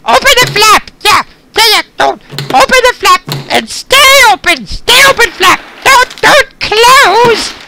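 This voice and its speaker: Through loud noise comes an extremely high-pitched little dog. It is excitable, hungry and impatient, pleading in frantic, repeated bursts. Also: slow pace, gravelly, exaggerated voice. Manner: frantic, repetitive, pleading exclamations. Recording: noisy recording with loud clicks.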